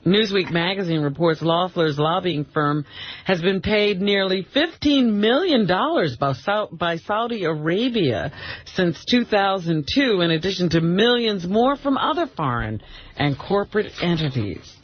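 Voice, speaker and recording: A man's voice: high voice, animated, unhurried. A man reading the news on a radio show, often breaking into laughter. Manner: breaks into laughter mid-sentence. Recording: dull radio broadcast audio.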